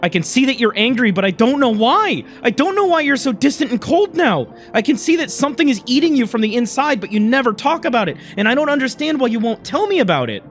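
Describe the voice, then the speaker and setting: A high, emotional young alien companion, acted out by a man in a dramatic story reading. The voice pleads and sounds wounded, moving widely in pitch over background music. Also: big pitch swings, fast talker, hardly any pauses, a bit bright, full character voice. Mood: earnest, hurt.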